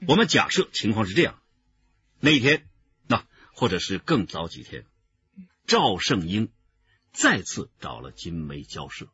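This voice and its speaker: Low, animated, authoritative detective. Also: male voice, husky, dry recording, heavily acted. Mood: analytical, grave. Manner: deliberate, explanatory, authoritative. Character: seasoned male police detective leading case analysis. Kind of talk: Chinese radio drama acting. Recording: muffled radio drama recording.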